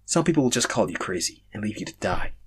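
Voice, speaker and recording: Guy doing a measured serious fantasy tactician, formal, bit husky. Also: lowish voice, lively intonation, fairly bright tone, heavily acted. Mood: reassuring.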